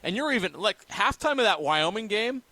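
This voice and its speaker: A man's voice: high-pitched, expressive, a little fast, pushed and bright, dry recording. An American man co-hosting sports talk radio, giving opinions in emphatic, repetitive phrases.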